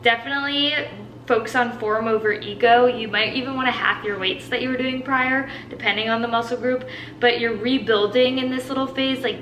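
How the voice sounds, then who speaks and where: A woman's voice: a little high, sharp tone, reverberant, slight background noise, low-quality recording. A woman in her twenties, a fitness coach whose first language is Portuguese, giving tips in a chatty, upbeat Q&A with lots of "like"s. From Brazil. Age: 20s. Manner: chatty, filler-heavy with many "like"s, upbeat. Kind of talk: casual Q&A monologue.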